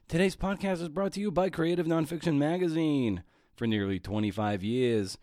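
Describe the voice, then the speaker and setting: A man's voice: fairly low pitch, darkish tone, no echo, clean studio sound. A middle-aged man hosting a podcast in a conversational, informal way, with wry, playful asides. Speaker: podcast host. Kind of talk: conversational podcast monologue and interviewing.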